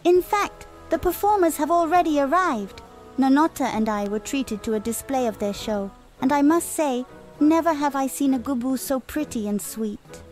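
A woman voicing a gentle, dreamy festival mistress in a fantasy game, with flowery, gracious phrasing. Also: high voice, normal speed, faint music behind, lightly acted. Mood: delighted. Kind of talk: scripted fantasy game dialogue.

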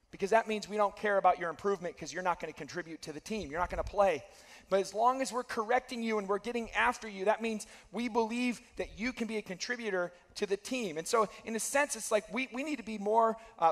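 A man in his thirties preaching a sermon on a church stage, earnest and repeating key phrases, his voice slightly hoarse from losing it. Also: high-pitched. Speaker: pastor. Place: large church stage with dark backdrop. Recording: long hall reverb.

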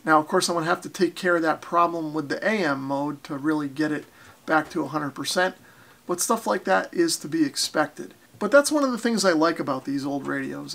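American man, 40s, casual hobby vlogger, higher-pitched voice with some fry, modest plain explainer. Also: a bit bright. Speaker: ham radio vlogger. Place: basement radio workshop indoors.

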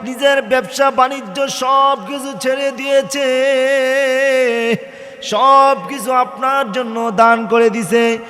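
A man preaches in a high voice, taking his time over each drawn-out phrase. His storytelling is half sung and rises into loud, impassioned cries. Long hall reverb surrounds him, with faint music behind. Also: steady tone. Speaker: Islamic preacher. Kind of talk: impassioned Bengali waz sermon, half-chanted storytelling.

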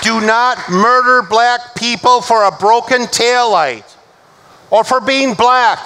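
A middle-aged male pastor delivering a measured, emphatic sermon from a church pulpit. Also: very high voice, sharp tone, clean tone. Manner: measured, emphatic preaching, slowing for recited scripture.

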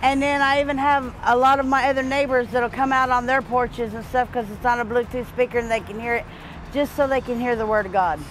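An older woman telling her story plainly and loudly in an outdoor interview, often repeating words as she starts a thought. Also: fairly high pitch, steady tone, brisk, slight background noise, poor audio. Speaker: church volunteer. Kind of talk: personal testimony interview. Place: outdoors in front of a church.

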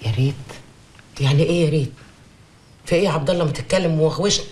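A woman's voice: sits low, very fast, a little mellow, lightly acted. An actress playing a devoted, fussing and outspoken woman with an Egyptian accent in a TV drama. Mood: worried, scolding. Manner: coaxing, nagging, emphatic. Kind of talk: TV drama acting.

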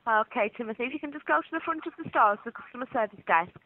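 Woman on customer service call, patient, talks fast, dry tinny phone audio. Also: fairly high pitch, expressive, no echo. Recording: tinny telephone line.

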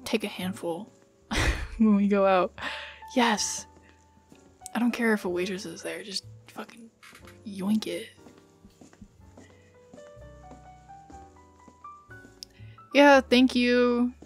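Slow-paced, playful, casual young woman, acted by a woman. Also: medium pitch, faint music behind. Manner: informal, teasing, giggly, swearing. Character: playful, chatty, bossy young woman on a dinner date with an old friend. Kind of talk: casual girlfriend roleplay ASMR, one side of a conversation.

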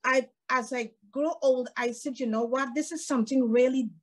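A woman's voice: high-pitched, steady tone, moderate pace. A middle-aged woman from Guyana with a Guyanese accent, a jovial podcast guest chatting on a video call from a room at home. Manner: jovial, laughs often. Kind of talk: casual interview chat.

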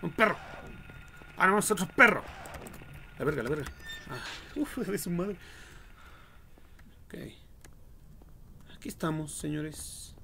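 A man's voice: a little high, gravelly, bright, forceful tone, slight background noise. A young adult man with a Mexican accent, giving casual, slangy, foul-mouthed and jokey commentary on a game livestream. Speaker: livestream gamer.

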